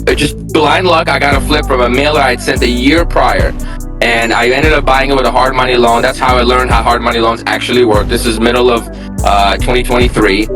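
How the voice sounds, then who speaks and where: Young man, from Armenia, candid, casual, steady mid pitch, clear music behind. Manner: candid, plainspoken, lots of fillers. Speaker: real estate investor guest. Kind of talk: casual interview storytelling.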